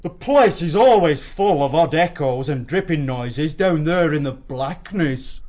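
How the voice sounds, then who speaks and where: An actor playing a bullying weasel captain in an audiobook, sly and falsely respectful with menace underneath. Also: male voice, a little high, animated, measured pace, darkish tone, lo-fi sound. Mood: sly, deferential. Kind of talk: full-cast audiobook acting.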